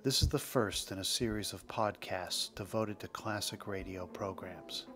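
A man with a fairly low voice hosts a podcast in a scripted narration style. Music plays clearly behind him as he talks. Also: moderate pace, fairly bright tone. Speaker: podcast host. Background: background music.

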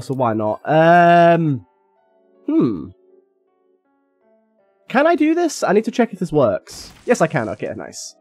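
A young man with a slightly raspy, under-the-weather voice and a somewhat high pitch chats his way through a game run, thinking aloud. His voice sits close and dry on the mic, with faint game music underneath. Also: a little mellow, no echo. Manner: chatty, thinks aloud while playing. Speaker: gaming YouTuber. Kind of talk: casual gameplay commentary.

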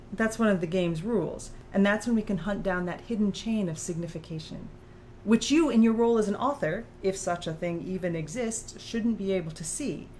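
A woman voices a female author in an audiobook dialogue, probing and analytical. She speaks at a middling pitch in pointed, articulate sentences. There is some noise behind the recording. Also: darkish tone, lightly acted. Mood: patient, analytical. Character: sharp, probing female author challenging her own creator.

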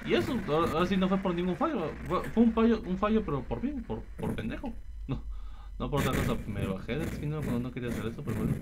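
Animated Mexican gamer, very noisy recording. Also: male voice, a little high, fast. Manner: foul-mouthed, slangy, talking to the game characters. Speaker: gameplay video host playing a wrestling game. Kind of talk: casual, improvised game commentary.

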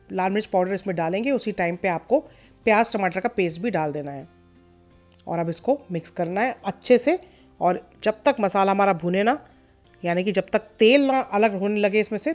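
Woman giving calm recipe instructions. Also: mid-range voice. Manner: calm step-by-step instruction, mixing in English words. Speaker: cooking channel host. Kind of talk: recipe voice-over.

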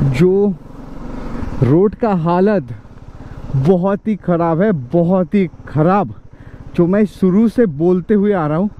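A man giving casual commentary to viewers while riding a motorcycle on a mountain road outdoors. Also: high-pitched. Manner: chatty, addresses viewers as brother. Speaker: motovlogger. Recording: muffled, with loud engine and wind noise.

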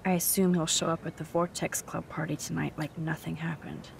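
An actress voicing a caring young woman student in a video game, soft-spoken and low-key. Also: little pitch movement, a little fast, vocal fry, slight background noise.

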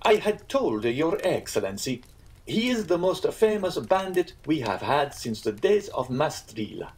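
A man's voice: a little high, big pitch swings, unhurried, darkish tone, pitched above the performer's own voice. A man voicing a proud, easily piqued Italian innkeeper in a storybook, earnest and emphatic. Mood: grave, offended.

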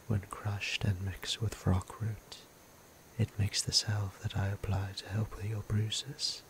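A man playing a gentle, courteous vampire priest in an ASMR roleplay, speaking softly and breathily in a formal, soothing way. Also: sits low, slight background noise, poor audio. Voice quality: soft, breathy near-whisper. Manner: slow, deliberate, formal, soothing. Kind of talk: intimate ASMR roleplay acting.

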